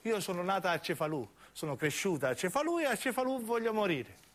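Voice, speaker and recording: A man's voice: high-pitched, big pitch swings, fairly quick, a bit bright. A man playing a nosy, talkative old woman in a radio play, homespun and prodding, with a southern Italian accent. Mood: chatty. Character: chatty, nosy, homely old Sicilian woman.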